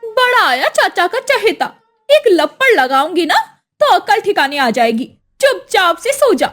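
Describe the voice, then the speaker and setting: A woman's voice: very high-pitched, sing-song, measured pace, a bit bright, faint music behind, voice pushed up, heavily acted. A woman voicing a spiteful, domineering wife in a cartoon story, with a scolding, sneering manner. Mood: scornful. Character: spiteful, domineering elder sister-in-law, mean rich wife.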